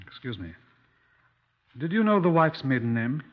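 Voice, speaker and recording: A British man playing a composed, probing police inspector in a 1950s radio drama, speaking formally and with measured care. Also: medium pitch, very animated, natural voice. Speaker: actor in a radio crime drama. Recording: dull, muffled 1950s radio recording.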